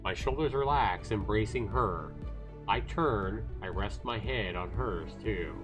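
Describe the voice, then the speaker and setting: Man, fairly low pitch, story narration, casual asides, livestream reader, soft game music behind. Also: a little slow. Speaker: livestreamer reading a visual novel aloud.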